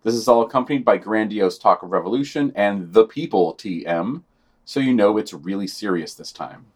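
A man's voice: lowish voice, expressive, moderate pace, darkish tone. A man co-hosting a podcast, reading scripted news items with dry, sardonic asides.

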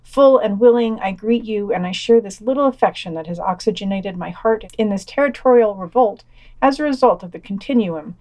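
A woman's voice: mid-range voice, a little fast, dark tone. A woman with a mid-range voice reads prepared news for a podcast. She works from a script, presenting items and quotations in a reader's delivery.

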